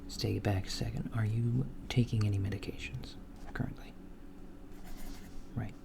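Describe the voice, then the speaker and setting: A man voicing a calm alien doctor in a softspoken ASMR roleplay, talking in a hushed, partly whispered way with an unhurried, hesitant manner. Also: fairly low pitch, creaky. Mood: calm clinical. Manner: unhurried, hesitant, with many fillers and murmured acknowledgments. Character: calm alien doctor examining a human patient.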